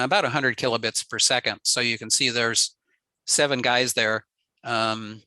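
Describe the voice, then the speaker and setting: A man giving a relaxed technical slide talk on a radio network, explaining with frequent ums and uhs. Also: mid-range voice, no room sound. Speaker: ham radio presenter.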